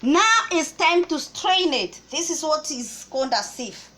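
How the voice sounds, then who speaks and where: Kenyan woman, bright and high, cooking vlog host, kitchen recording with audible noise. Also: expressive, a little slow. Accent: Kenyan English. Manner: chatty, explains step by step with small restarts. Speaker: cooking vlogger. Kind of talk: casual cooking tutorial.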